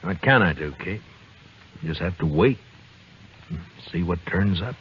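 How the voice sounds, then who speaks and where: A man's voice: low voice, animated, measured pace, heavy background noise. A man with an American accent acting a weary, steadfast, terse frontier marshal who also narrates, in a 1950s radio western. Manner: terse, understated. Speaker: lead actor and first-person narrator. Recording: muffled old radio recording, music behind.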